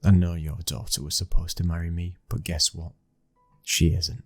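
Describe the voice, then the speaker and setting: A British man acting a cold, dominant young mafia heir and protective new husband in an ASMR roleplay, speaking in a low, husky hush, slow and commanding. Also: flat delivery, dry recording, faint music behind. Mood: menacing.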